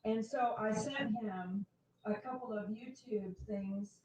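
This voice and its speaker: A woman's voice: medium pitch, slow pace, a little mellow. A woman co-hosting a casual live stream, chatting and greeting viewers by name. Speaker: co-host reading the live chat. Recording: roomy, distant, dull with faint noise.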